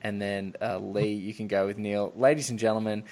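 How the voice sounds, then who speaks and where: A man with a fairly low voice hosts a film podcast. He talks casually and effusively, rambling along and repeating phrases for emphasis. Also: level tone, moderate pace, a little mellow. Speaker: podcast host. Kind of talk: enthusiastic film discussion, casual chat.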